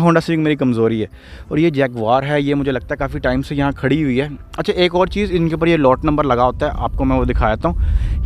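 एक पाकिस्तानी उर्दू लहजे वाला आदमी, खुले कार नीलामी यार्ड में हाथ के फ़ोन कैमरे से व्लॉग बनाते हुए, बातूनी और उत्साही अंदाज़ में।. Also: male voice, medium pitch. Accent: Pakistani Urdu. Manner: chatty and excitable, exclaiming over cars. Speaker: vlogger touring a car auction yard. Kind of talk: casual walk-and-talk vlog. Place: outdoor car auction lot. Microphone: handheld phone camera.

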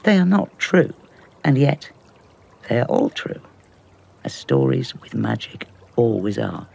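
A man delivers scripted, storytelling narration at an unhurried pace, with a gravelly edge to his voice. His pitch is on the low side and moves expressively. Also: sing-song, a little mellow. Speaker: narrator of introduction.

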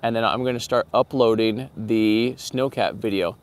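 A man's voice: fairly low pitch, steady tone, normal speed. A man in his forties hosting a casual livestream from an outdoor parking lot at night, talking upbeat and chatty to viewers through a phone held at arm's length. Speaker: channel host doing a livestream. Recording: faint outdoor background noise.

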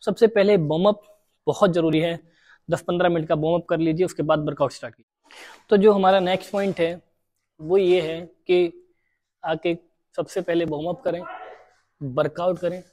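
Young male gym trainer, warm low-key voice. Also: fairly even intonation, moderate pace, long pauses. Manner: repeats key points, spells out steps for beginners. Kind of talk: fitness tips to camera. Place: large indoor gym.

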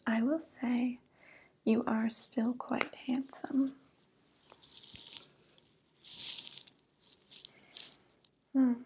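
A young woman playing a vain, sly witch in a soft-spoken ASMR roleplay, breathy and whispery, cooing with false tenderness close to the microphone. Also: a little high, slight background noise. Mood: pleased, sly. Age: young adult. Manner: slow, cooing, gently condescending. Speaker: ASMR performer.

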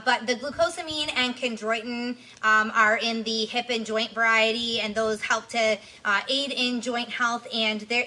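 A woman's voice: a little high, bright, forceful tone, rough recording. A woman, giving an informal product review to camera. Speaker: pet product reviewer and host.

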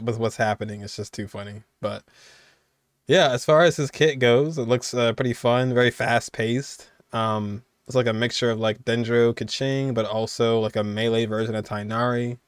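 A man's voice: mid-range voice, dry recording. Young man, dry close casual commentary. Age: young adult. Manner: informal, amused. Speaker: reaction video host.

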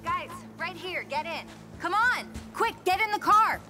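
A woman's voice: extremely high pitch, very animated, a little slow, bright, forceful tone, soft background music, exaggerated voice. An actress playing a brash, sardonic young woman with an American accent and a flippant, unpredictable manner. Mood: urgent. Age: young adult. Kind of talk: naturalistic video game acting.

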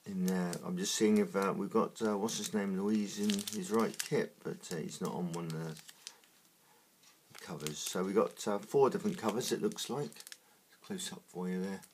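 A man with a croaky morning voice, talking through opening trading card packs in a slow, halting way with many fillers. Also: sits low, slight background noise. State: croaky, just woken up. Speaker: trading card unboxing host. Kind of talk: casual unboxing commentary.

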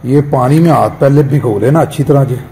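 A man's voice: mid-range voice, a little fast, slight background noise. A man explaining how he grills kebabs, speaking plainly and unhurriedly and adding a polite "ji". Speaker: cook. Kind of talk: explaining cooking while grilling. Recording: loud music underneath.

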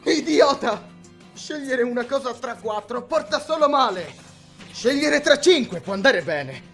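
A man voicing an excitable, indignant young gangster with an overdramatic, ranting manner in an anime fan dub. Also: very high voice, a little slow, faint music behind, exaggerated voice.

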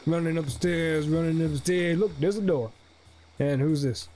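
A man's voice: on the high side, a little slow, mellow, a little hiss or hum. A young man giving casual let's play commentary in a rambling, jokey way. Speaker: gaming video host. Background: game music.

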